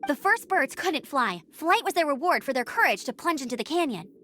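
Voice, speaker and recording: A woman's voice: high voice, very animated, a little fast, pushed and bright, a little hiss or hum, exaggerated voice. A woman voicing a plucky, bold teenage girl knight scout in a video game, spirited and emphatic. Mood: brave, resolved.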